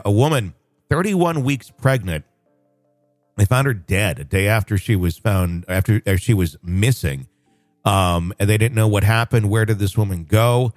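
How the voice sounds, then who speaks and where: Low-voiced podcast host. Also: male voice, a little mellow, no room sound. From northwest Arkansas, USA. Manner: rambling, frequent fillers and restarts. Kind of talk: casual podcast chat.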